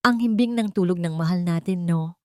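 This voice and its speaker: A woman's voice: on the low side, moderate pace, dry recording, studio quality, natural voice. Woman acting a cold, gloating villainess. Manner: calm, menacing, mocking. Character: cold, gloating, murderous villainess taunting her captives.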